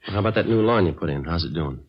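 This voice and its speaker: An actor playing a businesslike police detective sergeant with an American accent, speaking in a matter-of-fact, deadpan way in an old radio drama. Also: male voice, low-pitched, quick pace, slightly rough. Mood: casual, dry. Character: dry, businesslike police detective sergeant narrating his case. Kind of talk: 1950s radio acting, clipped scripted narration. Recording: muffled vintage radio, music behind.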